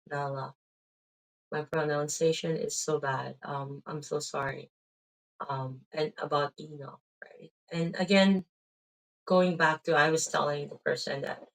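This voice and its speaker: Tired filipino lady, middle-aged, low voice, wandering faith chat w/ lots of um and right?. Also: rough recording. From Philippines. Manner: meandering, full of "um" and "right?" tags. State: tired, drained of energy. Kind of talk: rambling casual faith talk.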